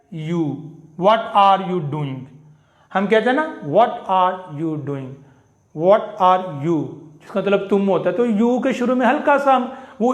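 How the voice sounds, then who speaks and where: A man leads a live English phonetics class, drilling each sound again and again. His voice sits high and he speaks at an unhurried, deliberate pace. The recording carries a noticeable room echo. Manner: slow, repeats sounds and example phrases many times. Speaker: English teacher.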